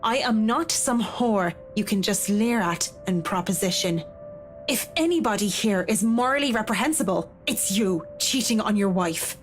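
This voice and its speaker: A woman's voice: medium pitch, lively intonation, normal speed, soft background music, lightly acted. A woman voicing a firm, defiant young interior designer in a visual novel game. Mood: indignant.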